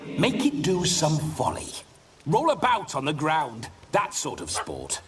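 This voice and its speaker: A flamboyant bored noble ghost. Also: male voice, a little high, wildly swooping pitch, measured pace, a bit bright, exaggerated voice. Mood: petulant. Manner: theatrical, thrill-seeking, petulant. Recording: game audio, distant, hissy.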